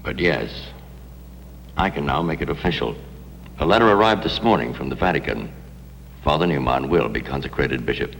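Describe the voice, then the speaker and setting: An actor playing a grave, dryly wry, dignified archbishop, speaking in a measured, formal way in an acted interview. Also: male voice, rumbling low, lively intonation, vocal fry, fairly bright tone, long reverb tail, a little hiss or hum, exaggerated voice, rough recording. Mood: wry, solemn. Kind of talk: acted documentary-style interview.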